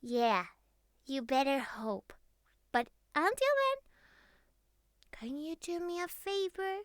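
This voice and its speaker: A naive young catgirl speaks in a tiny, extremely high voice. She talks slowly and hesitantly, with childlike, pleading earnestness. It is a clingy, intimate ASMR roleplay, recorded very dry and close. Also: animated, no echo. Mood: proud, determined, then tender, pleading. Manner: childlike, hesitant, pleading, slightly formal phrasing.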